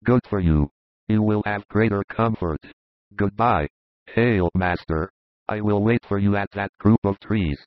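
A man voicing a jovial, confident Roman centurion in an audiobook, in a low, confiding, easygoing voice. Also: measured pace, no room sound, lightly acted. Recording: dull lo-fi dry recording.

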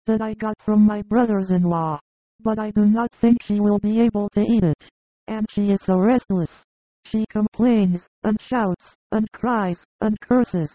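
A man's voice: high voice, no echo, pitched above the performer's own voice. A man doing an anxious young housewife. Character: anxious worn-out eldest daughter-in-law. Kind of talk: audiobook character voice. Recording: dry, tinny phone-like sound.

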